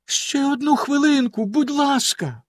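A man's voice: very high-pitched, a little slow, dry recording, studio quality, voice pushed up. A man voicing a frightened young wife who begs and pleads in a raised, tearful voice. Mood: desperate.

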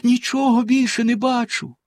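A soft-spoken young sister from a fairy tale, answering in a high, lilting sing-song, as a male narrator puts her on. Close, dry sound. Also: steady tone, moderate pace, no room sound. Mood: gentle. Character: young woman, sister, gentle lookout.

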